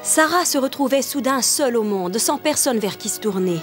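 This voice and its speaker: A woman's voice: a little high, expressive, normal speed, close to an everyday voice. A woman narrating a French cartoon in a solemn storybook style. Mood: solemn, sorrowful. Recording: loud music behind the voice.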